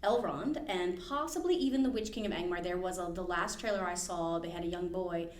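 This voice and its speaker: A woman's voice: fairly low pitch, fairly quick. A woman hosting a library podcast, chatting eagerly about fantasy book lore. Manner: enthusiastic, digs into book lore, fond of asides. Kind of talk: casual chat. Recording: echoey room.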